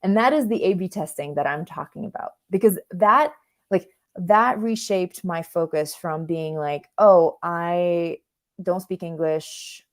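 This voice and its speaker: Female career coach from Russia, Russian native, slightly low voice, informal conversational workshop delivery with self-interruptions. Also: a little slow. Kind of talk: conversational online workshop presentation.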